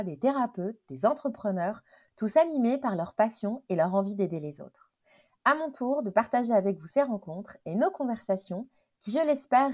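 A woman hosting a podcast, reading a measured, gentle introduction and interviewing her guest. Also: mid-range voice. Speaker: podcast host. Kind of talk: scripted intro then interview.

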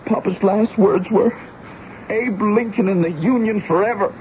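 An actor playing an earnest, pleading teenage runaway from Louisiana in a 1970s radio drama, speaking haltingly and emotionally. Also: male voice, high voice. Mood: grieving. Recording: dull, noisy old radio broadcast recording.

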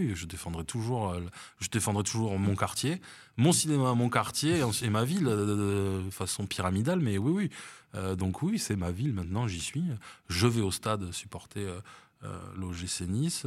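A middle-aged man from France chatting in an interview, hesitant, with many fillers and restarts. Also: low-pitched. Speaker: cinema manager, interview guest. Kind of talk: casual interview chat. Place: outdoors on a seafront promenade.

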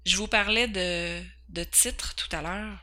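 Woman, 40s, Québécois accent; podcast host, earnest and candid, slight stumbles, crisp bright tone. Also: mid-range voice, fairly even intonation, slow. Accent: Québécois French. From Quebec, Canada. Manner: earnest, candid, occasionally stumbling over words. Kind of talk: personal introduction.

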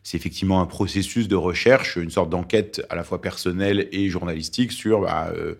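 Give me a Lebanese-born man in his forties whose first language is Arabic, a journalist with a very deep voice, thinking aloud with fillers. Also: a little fast, creaky. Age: 40s. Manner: thoughtful, hesitant with many fillers. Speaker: journalist guest. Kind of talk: interview conversation.

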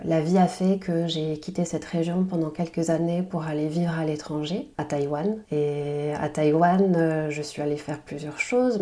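Woman guest from the Basque Country, low, warm, hesitant, thoughtful delivery. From French Basque Country. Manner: thoughtful, hesitant, many fillers. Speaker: guest, French teacher and podcaster. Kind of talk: interview conversation.

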